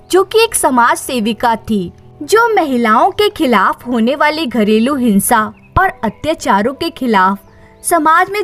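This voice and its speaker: A woman's voice: high-pitched, a bit bright. A woman narrating a dramatized moral story as a storyteller. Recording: faint music behind the voice. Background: faint music.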